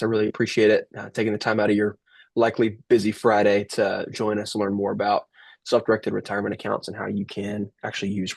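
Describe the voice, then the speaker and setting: A man's voice: fairly low pitch, vocal fry, breathy edge, no room sound. A young man with a head cold and a nasal voice, casually presenting a webinar over a video call. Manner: relaxed, filler-heavy, restarts. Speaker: webinar host.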